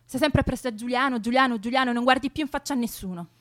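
An actress playing a stubborn, sharp-tongued young woman, bickering scornfully in a naturalistic radio drama. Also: on the high side, rapid-fire, close to an everyday voice. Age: young adult.

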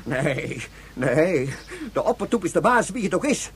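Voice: medium pitch, very animated, moderate pace, lightly acted. An actor in a radio drama playing a sick, miserable, agitated citizen, stammering and gasping in distress. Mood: bitter, hateful. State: nauseous, in distress. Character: sick, miserable, agitated citizen force-fed sweet eel.

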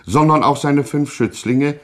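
A man delivering wry, measured scripted narration for a satirical radio play. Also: fairly high pitch.

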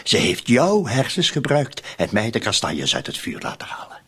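An actor with a Flemish accent playing a scheming, suspicious conspirator in a radio drama, insistent and often dropping to near-whispers. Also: male voice, medium pitch, huge pitch swings, moderate pace, a bit bright, slightly rough, heavily acted. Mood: conspiratorial.

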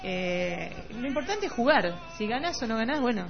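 A woman's voice: fairly high pitch, sing-song, normal speed, creaky. A woman with a Rioplatense Argentine accent co-hosting a casual literary radio chat, at times reading out comments. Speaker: radio co-host. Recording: slightly dull radio audio with music behind. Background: music.

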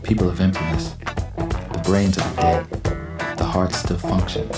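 A narrator reciting a literary radio play text in measured fragments. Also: low voice, fairly even intonation, lightly acted. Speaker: English-language narrator and translator in a radio play. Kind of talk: literary scripted narration over music. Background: plucked guitar music.

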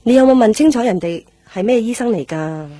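A woman's voice: a little high, animated, brisk, mellow, no echo. An actress playing a worrying, nagging middle-aged mother in a radio drama, in Hong Kong Cantonese. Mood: nagging concern.

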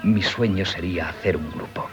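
An actor playing an earnest, polite and sickly young would-be sculptor in a Spanish radio play, with a Castilian accent and hesitant delivery. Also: male voice, sits low, moderate pace, natural voice. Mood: dreamy, intense. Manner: polite, hesitant, deferential storyteller. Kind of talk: 1970s Spanish radio theatre acting. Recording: 1970s radio drama with music.

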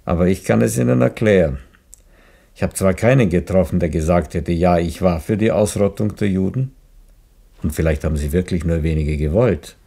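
Low, husky, weary rabbi. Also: male voice, normal speed, mellow, exaggerated voice. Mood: grave, reproachful. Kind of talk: German radio play acting.

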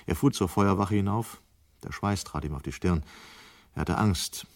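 A man reading scripted narration for a 1970s German radio drama in a measured, sober manner. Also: low-pitched, natural voice.

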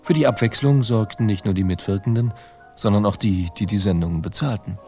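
A man reads a scripted voice-over. His voice is mellow and gravelly, with faint music underneath. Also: lowish voice, a little fast.

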